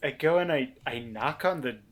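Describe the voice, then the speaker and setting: A man playing a tabletop game casually, improvising in a halting, self-correcting way. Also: medium pitch.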